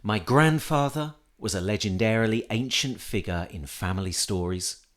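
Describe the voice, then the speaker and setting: A man reading a scripted literary essay aloud in a measured, reflective manner. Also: lowish voice, a bit bright. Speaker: reader of an essay.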